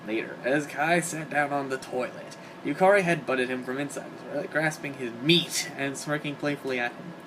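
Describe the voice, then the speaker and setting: A man's voice: medium pitch, normal speed, few pauses. A man giving a dramatic reading of comic fan fiction. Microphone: home microphone on an internet call. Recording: hobby voice-call recording, clear background noise.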